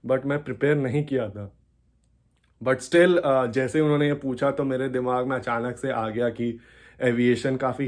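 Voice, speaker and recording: A man's voice: medium pitch, darkish tone. A young man with an Indian accent chatting casually as a vlogger, explaining things and switching often between two languages. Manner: code-switches between Hindi and English, explanatory. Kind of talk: casual vlog chat.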